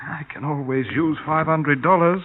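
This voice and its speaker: An actor playing a big, shady jungle trader, cynical and knowingly ominous, in a radio drama. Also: male voice, fairly high pitch, steady tone, moderate pace, close to an everyday voice. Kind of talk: 1950s radio drama acting. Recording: narrow, noisy old radio recording.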